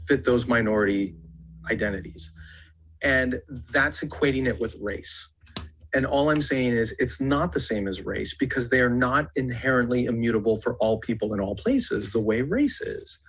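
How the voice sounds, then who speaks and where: A man explaining his view in a measured, conversational way on a podcast panel. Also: medium pitch, a bit bright, slight background noise, poor audio. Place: indoor room, remote podcast call.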